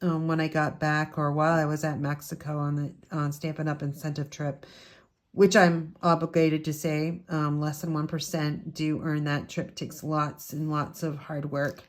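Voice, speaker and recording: A woman with a raspy voice from a lingering head cold, from the United States, chatting through a step-by-step card-making tutorial in her craft room at home. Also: sits low, little pitch movement, moderate pace.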